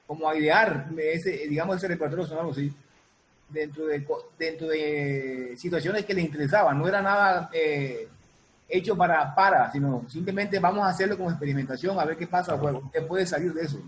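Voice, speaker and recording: Male guest producer, slightly high voice, halting casual explanations, faint background noise. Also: rough recording. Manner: halting, repeats and reformulates. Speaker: guest, music producer and sound designer.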